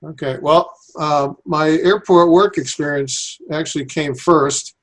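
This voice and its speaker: Man with an even, level delivery. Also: a little high, unhurried. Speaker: civil engineer, airport designer being interviewed. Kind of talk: explanatory interview answers.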